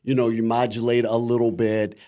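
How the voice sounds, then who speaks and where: A middle-aged man with a deep voice and a Midwestern American accent, chatting reflectively as a voice actor guest in an interview. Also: little pitch movement, fairly quick, dark tone. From Akron, Ohio, USA. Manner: rambling, many "you know" fillers, reflective. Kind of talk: casual interview talk.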